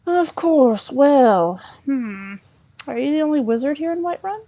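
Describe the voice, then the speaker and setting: Female let's play gamer: higher voice, improvised roleplay, chatty, hesitant, thinking aloud. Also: animated, unhurried, mellow. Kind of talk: in-character improvised gameplay roleplay and commentary.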